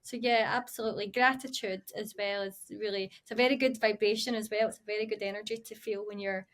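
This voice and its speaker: A woman's voice: medium pitch, fairly even intonation, quick pace, fairly bright tone. A woman hosting an interview podcast, talking in a supportive, affirming way. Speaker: podcast host. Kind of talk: casual interview chat.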